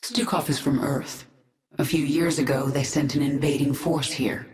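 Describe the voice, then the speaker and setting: A woman's voice: rumbling low, steady tone, moderate pace, a little mellow. An actress voicing a determined, commanding female swarm queen who speaks firmly. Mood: resolute. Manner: firm and resolute, at times dropping to a whisper. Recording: echoey.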